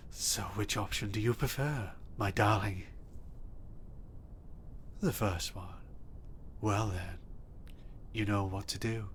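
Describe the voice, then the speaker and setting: A man playing an obsessive, possessive stalker in an audio roleplay, speaking softly and intimately with a threatening edge. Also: low voice, creaky, a little hiss or hum, heavily acted. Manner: soft, intimate and menacing, bursting into threats. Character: obsessive, possessive male stalker kidnapper, adult.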